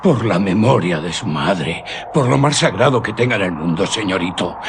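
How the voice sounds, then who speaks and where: Terrified rustic guide, animated intonation, creaky voice, urgent warnings, radio drama, slightly noisy recording. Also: male voice, mid-range voice, heavily acted. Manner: vehement, urgent, pleading. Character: terrified local mountain guide, superstitious and pleading. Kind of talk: Spanish radio drama acting.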